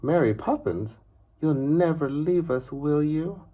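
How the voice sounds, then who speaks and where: A man's voice: a little high, expressive, takes it slowly, mellow, distant mic, voice pushed up. A man voicing an earnest, curious young boy who asks questions in a children's storybook. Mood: pleading.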